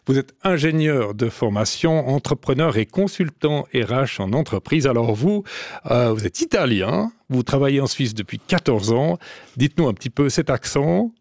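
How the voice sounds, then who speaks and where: Male radio host, gravelly, dry studio sound. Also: medium pitch, moderate pace, no echo. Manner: animated, steering questions. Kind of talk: radio talk show hosting.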